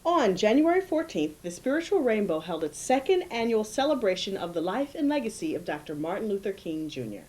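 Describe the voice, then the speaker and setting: A woman co-hosting a community cable show, chatty and quick to laugh. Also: medium pitch, very animated, a little mellow. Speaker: co-host. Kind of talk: casual studio chat. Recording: old analog tape.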